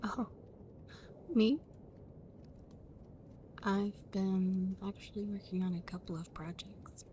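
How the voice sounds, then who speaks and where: A woman playing an affectionate, playfully teasing young friend in an ASMR roleplay, speaking in a soft, breathy, often whispered voice with a gentle, intimate manner. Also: mid-range voice, fairly even intonation, takes it slowly, a little hiss or hum, close to an everyday voice. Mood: warm, interested.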